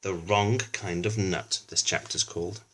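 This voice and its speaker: A man's voice: sits low, steady tone, measured pace, fairly bright tone, a little hiss or hum. A man reading a children's book aloud, narrating with chatty asides addressed to young listeners.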